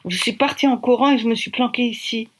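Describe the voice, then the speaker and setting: A woman's voice: on the high side, steady tone, quick pace, pitched above the performer's own voice, natural voice. A woman reading aloud, voicing a frightened young woman who is shaken but spirited.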